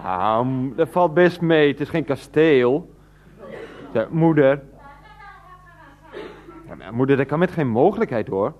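A man's voice: medium pitch, animated, unhurried, pauses often, natural voice. Pleading, swooping grown son played by a man. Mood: coaxing. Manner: stammering, coaxing, often interrupted. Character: pleading, wheedling grown son phoning his mother. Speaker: radio drama actor. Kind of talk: 1990s Dutch radio play acting. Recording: dull, band-limited radio recording.

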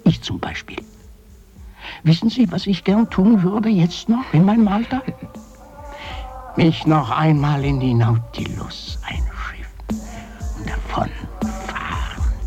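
A man acting a dreamy, brooding older father in a literary radio drama, halting and musing, sliding into whispers. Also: on the high side, animated, darkish tone. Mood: longing, resigned. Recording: music behind the voice.

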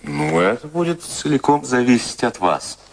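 An actor playing a suave, self-assured rake who teases and woos in a radio drama. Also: male voice, medium pitch, big pitch swings, normal speed. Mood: teasing, confident. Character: teasing, self-assured charming rake wooing a woman.

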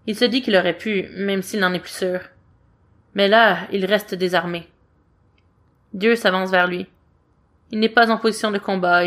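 A woman's voice: medium pitch, a bit bright. A woman reading scripted fiction aloud as an amateur audiobook-style narrator. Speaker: amateur fan fiction reader.

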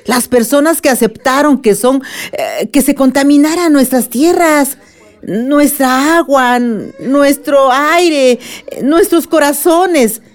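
A woman playing a wise older grandmother in a radionovela, earnest and deliberate as she consoles and persuades. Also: sits high. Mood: challenging. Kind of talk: radionovela acting.

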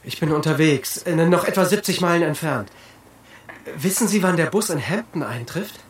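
A man's voice: fairly high pitch, lively intonation, moderate pace, natural voice. An actor in a German radio drama playing an anxious husband searching for his missing wife, hesitant and stammering, yet urgent. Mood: uneasy. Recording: roomy sound with faint noise.

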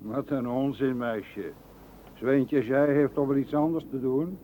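An actor in a radio play playing a gruff, mocking rag-and-bone man who speaks brusquely and scoffs. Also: medium pitch, steady tone, unhurried, warm tone, natural voice. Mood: gruff, scoffing. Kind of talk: radio drama acting. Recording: tinny, noisy old radio recording.

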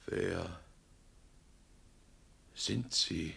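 An actor playing an anguished condemned man who protests his innocence in a radio drama, with a gravelly, husky voice. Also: low voice, extremely slow, sharp tone, exaggerated voice. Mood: suspicious, uneasy.

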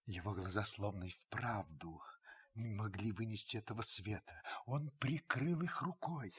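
An actor playing a grave, watchful older householder who narrates a radio drama, husky and breathy, with solemn dramatic pauses. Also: male voice, on the low side. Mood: hushed, intent. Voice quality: husky, breathy, sinking to whispers. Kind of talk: dramatic literary narration, old radio play.

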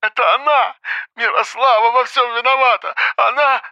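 An actress playing a hysterical, accusing mother in an audiobook, shrill and agitated, heard as if over a phone. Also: normal speed, bright, forceful tone, no room sound, dull audio. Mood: furious accusing. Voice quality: shrill, shrieking.

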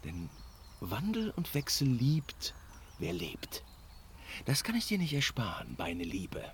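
An actor playing a proud, evasive, power-hungry god in a German fantasy radio drama, grandiose and often breathy. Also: male voice, medium pitch, huge pitch swings, slow, a little hiss or hum, heavily acted. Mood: placating, defensive. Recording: music behind the voice.

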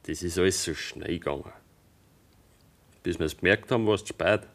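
An actor with a Bavarian accent playing a taciturn young farmer's son in a radio drama, giving terse, evasive answers. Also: male voice, sits low, normal speed, a bit bright. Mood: guarded, stolid. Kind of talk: German radio drama acting.

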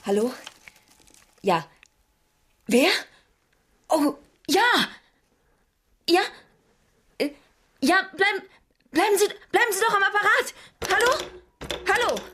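An actress playing a detective's anxious, loyal secretary in a radio crime drama, urgent and insistent. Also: female voice, extremely high pitch, lively intonation, takes it slowly, pauses often, fairly bright tone, full character voice. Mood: agitated, pleading. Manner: urgent, questioning, agitated.

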